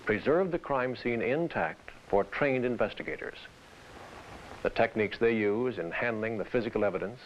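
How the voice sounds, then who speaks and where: A man presenting scripted instruction in a formal, deliberate manner. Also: lowish voice. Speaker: training film presenter. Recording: dull hissy vintage training film soundtrack.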